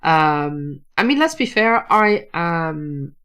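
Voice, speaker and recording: A woman's voice: low-pitched, big pitch swings, slow pace, a bit bright, clear, resonant tone. A Dutch woman speaking English as a second language, talking casually about writing on a livestream with many restarts and fillers. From Netherlands. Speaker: writer hosting a livestream.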